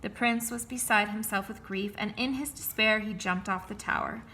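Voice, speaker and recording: A woman reading a fairy tale aloud in a clear, expressive, measured way. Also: mid-range voice, a little hiss or hum, poor audio. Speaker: storyteller.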